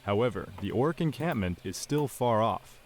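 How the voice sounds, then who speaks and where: An actor playing a formal game tutorial guide, giving measured, scripted instructions. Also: male voice, medium pitch, lively intonation, noisy background, natural voice. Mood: instructive. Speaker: tutorial narrator.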